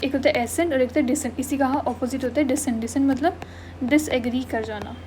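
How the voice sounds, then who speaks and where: High-voiced woman teaching vocabulary. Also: steady tone, fairly quick, darkish tone, slight background noise. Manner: mixes Hindi and English, frequent "thik hai" and "done" check-ins. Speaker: English teacher for exam prep. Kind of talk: online class explaining vocabulary.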